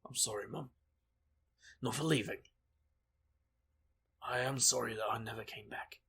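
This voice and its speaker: An actor playing a brash, wisecracking investigator in a fantasy audio drama, a reckless charmer with a glib, deadpan delivery. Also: male voice, mid-range voice, a little slow, vocal fry, breathy edge, heavily acted. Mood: earnest.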